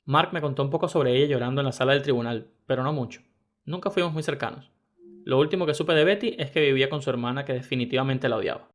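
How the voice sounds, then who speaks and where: A man narrating first-person internet stories in a brisk, even reading style. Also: mid-range voice. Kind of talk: scripted story narration.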